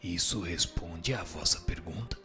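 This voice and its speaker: A man playing a solemn male spirit who answers questions in a formal, measured, didactic way for a scripted radio drama. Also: sits low, music in the background. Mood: solemn, instructive.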